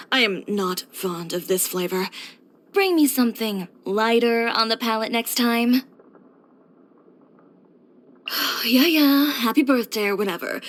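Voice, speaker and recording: A young woman voicing a confident, blunt fashion designer and boutique owner in a scripted video game, with a poised, direct delivery. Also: on the high side. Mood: curt, blunt. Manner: self-assured, direct, poised with dry sighs.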